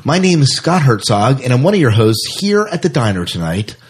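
A man's voice: mid-range voice, big pitch swings, brisk, a little hiss or hum. A man hosting a science fiction podcast, talking enthusiastically and rambling a little with fillers. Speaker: podcast host. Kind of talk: casual podcast chat.

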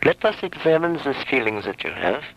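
A man hosting a late-night radio call-in show, musing slowly and hesitantly with many ums. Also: mid-range voice, sing-song, creaky. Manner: thoughtful, hesitant, lots of ums. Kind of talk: casual call-in radio chat. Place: radio studio. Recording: dull lo-fi radio broadcast.

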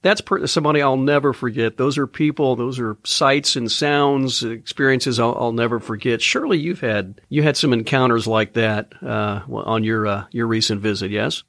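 American man hosting, slightly creaky voice. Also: medium pitch, moderate pace, few pauses, fairly bright tone, no echo, studio quality. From United States. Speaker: radio show host interviewing a guest. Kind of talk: conversational interview and personal storytelling.

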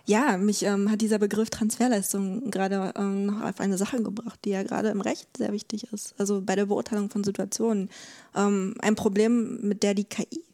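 Female expert interviewee, soft mellow tone, little melodic movement, thinks aloud with repeated words. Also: medium pitch, little pitch movement. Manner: explanatory, halting, repeats words while thinking. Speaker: podcast guest, legal expert.

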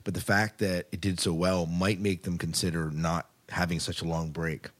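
Deep-voiced man co-hosting a podcast. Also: level tone, normal speed. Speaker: podcast co-host. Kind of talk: casual sci-fi news commentary.

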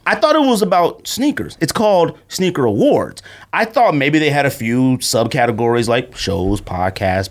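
A man co-hosting a podcast, telling stories casually in slangy speech and acting out what people said. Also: a little high. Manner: slangy, animated storytelling, acting out quotes. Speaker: podcast co-host. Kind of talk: casual podcast chat and storytelling.

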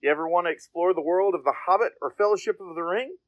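A male podcast host with a high-pitched voice. He narrates a scripted review in a dry recording with no room echo. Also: quick pace, muffled recording.

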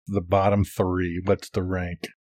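A man's voice: low-pitched, little pitch movement, takes it slowly, a little mellow, dry recording. A middle-aged man co-hosting a podcast, giving his take in a casual, conversational way. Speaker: podcast co-host.

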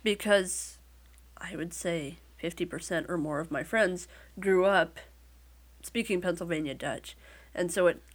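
A woman's voice: fairly low pitch, unhurried. A woman with a Midwestern American accent, talking casually as an interview guest and telling things in a wry, lightly joking way. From Midwestern United States.

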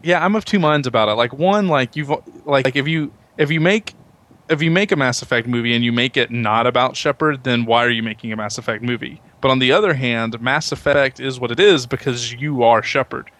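A man's voice: medium pitch, fairly quick, fairly bright tone. A man hosting a podcast, talking through his points in a casual group discussion and often rephrasing as he thinks aloud. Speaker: podcast host moderating a discussion.

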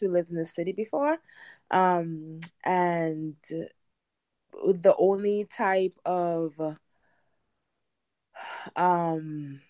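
Trinidadian woman with a lower voice. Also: mellow, clean tone, no room sound. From Trinidad and Tobago. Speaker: podcast guest, home gardener. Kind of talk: casual interview chat.

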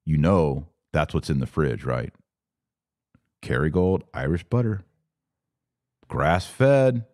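Man, low deep voice, dry close sound, podcast host, informal and slangy, emphatic livestream chat. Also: lively intonation, slow, pauses often, darkish tone, no room sound. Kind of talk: casual livestream chat answering viewer comments.

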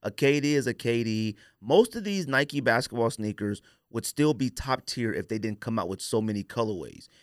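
An American man in his forties talks like a podcast host deep in a casual debate. His pitch moves in lively swings and he drives points home by repeating them. The recording is close and dry. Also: moderate pace, few pauses, dry recording. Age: 40s. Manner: emphatic, repeats phrases for stress. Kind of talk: casual opinionated sneaker debate.

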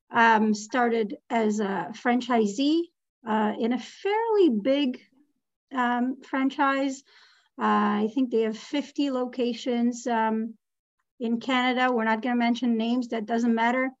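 A woman's voice: fairly high pitch, dull audio. A woman in her thirties, originally from Israel, speaking as a guest in an interview, hesitant with many fillers and restarts. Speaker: guest, real estate lending executive.

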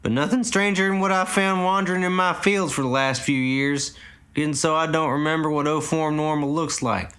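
A man voicing a gruff, plainspoken old male farmer, raising his pitch. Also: normal speed, fairly bright tone, natural voice. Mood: blunt.

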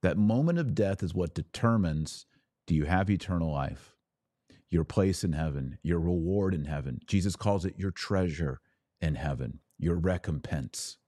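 Low-voiced man teaching religion, emphatic. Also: expressive, mellow, dry recording, clean studio sound. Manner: emphatic, deliberate phrasing with dramatic pauses; didactic, preacher-like rhetorical questions. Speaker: podcast host.

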